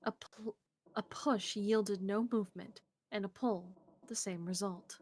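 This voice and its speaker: A woman's voice: mid-range voice, fairly even intonation, measured pace, a little mellow. A woman streamer reading a visual novel's narration aloud, with casual asides. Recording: faint background noise.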